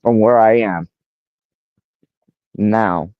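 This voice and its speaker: A man's voice: lowish voice, drawn-out words, dry recording. Man, 40s, rambling livestreamer who repeats himself; dead dry, muffled lo-fi sound. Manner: rambling, addresses a viewer by name, repeats himself. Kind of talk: casual livestream talk.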